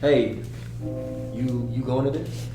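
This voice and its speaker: A man's voice: medium pitch, gravelly, over music. Man playing a bashful young guy, echoey room. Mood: hesitant. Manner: halting, casual. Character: easygoing, bashful young man, polite to elders. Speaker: actor at a script table read. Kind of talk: table read of a film script. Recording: echoey room with noisy hum.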